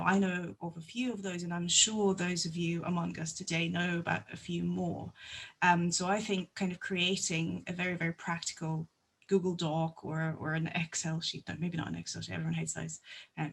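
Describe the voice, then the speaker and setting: A woman's voice: lowish voice, creaky, fairly bright tone, breathy edge. A woman giving practical remarks on a video call, with frequent ums. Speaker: seminar participant. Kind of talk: online meeting remarks. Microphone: computer mic on a video call.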